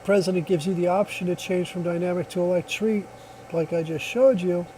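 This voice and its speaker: A man's voice: sits high, steady tone, fairly quick, dark tone, a little hiss or hum, rough recording. A man giving a casual hands-on product demonstration in a plainspoken, folksy, reassuring way, recorded on a phone.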